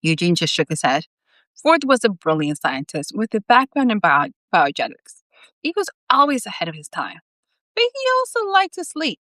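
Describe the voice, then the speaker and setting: A woman author reading her own fiction aloud in an animated storytelling style, dropping in chatty, informal, self-deprecating asides. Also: lowish voice, dry recording.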